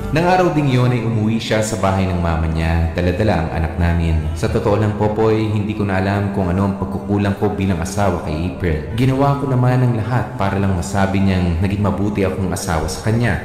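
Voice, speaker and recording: A man's voice: deep voice, darkish tone, natural voice. An actor playing a heartbroken, sincere husband telling his story as radio drama narration. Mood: sorrowful. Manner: sorrowful, deliberate storytelling. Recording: very echoey hall with faint music.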